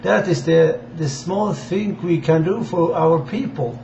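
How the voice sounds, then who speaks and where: A man from Sweden with a Swedish accent gives an informal political address in halting, deliberate non-native English. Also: fairly high pitch, gravelly, a little mellow. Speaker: amateur political campaigner addressing viewers. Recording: dull, echoey room with faint hiss.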